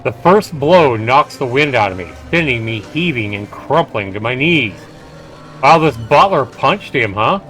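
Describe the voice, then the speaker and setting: A man's voice: mid-range voice, animated, a little slow, fairly bright tone, faint music behind. A man streaming a game, reading a visual novel aloud and adding casual commentary.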